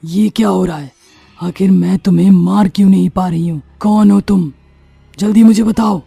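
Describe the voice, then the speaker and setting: Woman playing a dark-voiced menacing witch. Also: mid-range voice, fairly even intonation, normal speed, gravelly, slightly rough, no room sound, faint music behind. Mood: angry, baffled. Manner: threatening, imperious. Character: menacing bloodthirsty witch who lures and kills villagers. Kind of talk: Hindi moral story dramatization.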